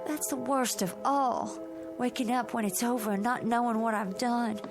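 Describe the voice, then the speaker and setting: A woman's voice: a little high, steady tone, moderate pace, music in the background, lightly acted. An actress playing a troubled young country woman, pleading and secretive, in a radio drama. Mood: pleading, despairing. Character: anguished young country bride-to-be hiding a dark secret.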